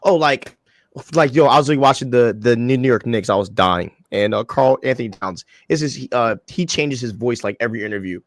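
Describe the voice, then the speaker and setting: A man's voice: medium pitch, expressive, moderate pace. A young man co-hosting a podcast, talking casually in slang-heavy, rambling bursts. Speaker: podcast co-host.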